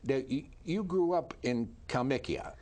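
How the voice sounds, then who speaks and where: A man hosting a TV interview, asking short, measured questions and confirming answers. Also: mid-range voice, expressive, darkish tone. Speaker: host.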